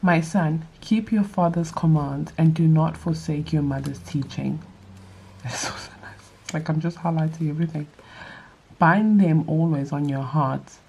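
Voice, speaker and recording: Nasal, stuffy low-voiced woman reading scripture. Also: dark tone, slightly rough, poor audio. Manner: reads aloud with quick personal asides. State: stuffy from allergies. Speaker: vlogger leading a Bible study. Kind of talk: casual Bible reading and commentary.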